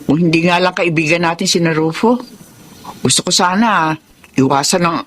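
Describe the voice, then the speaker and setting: A woman's voice: on the low side, normal speed, lightly acted. A Filipino woman playing a stern, disapproving middle-aged mother in a radio drama, blunt and fretful.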